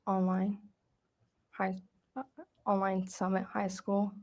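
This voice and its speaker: An eighteen-year-old woman from Iraq testifying as a witness, giving soft, brief answers that are sometimes half-whispered. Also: medium pitch, monotone, slow. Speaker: trial witness. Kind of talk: testimony under questioning.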